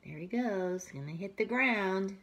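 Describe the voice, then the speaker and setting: A woman teacher reading a picture book aloud to young children, expressively, with breathy asides and questions to her listeners. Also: medium pitch, animated, a little slow, fairly bright tone.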